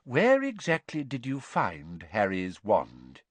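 A man's voice: mid-range voice, wildly swooping pitch, slow pace, no room sound, full character voice. A man voicing a kindly, reasonable wizard in a storybook reading, earnest and persuasive. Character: reasonable, kindly male wizard father, arguing calmly.

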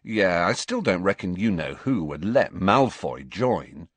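A man's voice: low voice, lively intonation, a little slow, slightly rough, no room sound, heavily acted. A man voicing a sceptical, offhand teenage boy in an audiobook, casual and unconvinced.

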